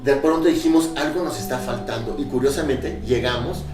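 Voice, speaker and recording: A man's voice: medium pitch, brisk, reverberant. Enthusiastic man, distant with music. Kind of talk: casual panel discussion.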